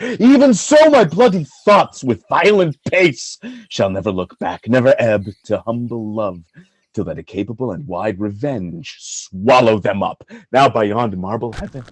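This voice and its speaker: An actor playing a proud, jealous general in a Shakespearean radio play, declaiming grand verse in a measured, volatile way. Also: male voice, mid-range voice, darkish tone, breathy edge, no echo. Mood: vengeful fury, then grim resolve.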